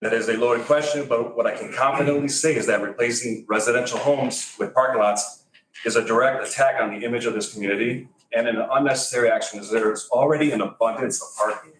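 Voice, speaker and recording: A man's voice: medium pitch, fairly even intonation, fast, a bit bright, a little hiss or hum. A man from Greece in his forties giving a prepared public comment at a city meeting, firm and a little wry. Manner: wry, firm, reads from notes. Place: council chamber. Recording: echoey chamber, distant mic.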